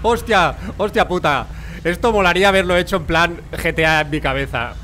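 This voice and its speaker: A man with a Spain Spanish accent giving animated, colloquial, joking commentary on gameplay as a video creator. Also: fairly high pitch, brisk, slight background noise.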